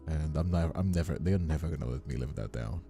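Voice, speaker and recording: Very deep-voiced, gravelly man, mellow and dark. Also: quick pace, soft background music. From Brazil. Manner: playful, rambling, often repeats himself. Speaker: streamer and voice actor hosting a livestream. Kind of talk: casual livestream chat.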